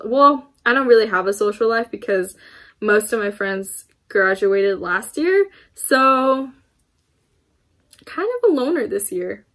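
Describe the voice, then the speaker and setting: Chatty teenage girl with a bright, high voice. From United States. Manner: chatty and rambling, many fillers and laughs. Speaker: YouTuber. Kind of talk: casual Q&A vlog to camera. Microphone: camera in front of her, laptop beside it.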